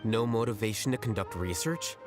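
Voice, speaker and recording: An actor playing a composed, blunt male scholar in a video game, speaking in a measured, precise, matter-of-fact way. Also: fairly low pitch, natural voice. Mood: cool probing. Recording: music playing behind the voice.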